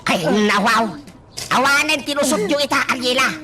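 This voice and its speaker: An actress playing a loud, scolding older woman in a radio drama, exclaiming sharply again and again. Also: moderate pace, a crowd in the background, lightly acted. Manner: shrill, exclaiming, repeats "Ay, nawaw!".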